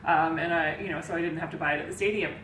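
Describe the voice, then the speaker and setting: Relaxed woman hosting from a couch. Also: deep voice, racing. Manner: relaxed, laughs easily. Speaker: host. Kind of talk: casual sports chat. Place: living room couch. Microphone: far camera mic. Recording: roomy, distant, hissy.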